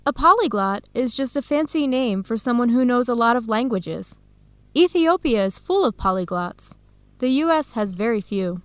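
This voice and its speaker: Woman playing young female researcher for educational radio drama: warm, upbeat, fairly high voice, clear simple lines. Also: expressive, normal speed, no room sound, close to an everyday voice. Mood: cheerful. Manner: clear, simple phrasing for English learners.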